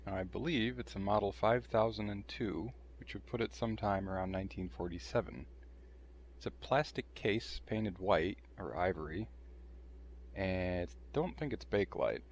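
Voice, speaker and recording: A man hosts a hands-on demonstration in a deep voice with a creaky, gravelly edge. His delivery is dry and matter-of-fact, explaining each step plainly. A faint layer of background noise sits under the voice. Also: normal speed. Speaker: video host showing a restored vintage radio. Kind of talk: how-to demonstration narration.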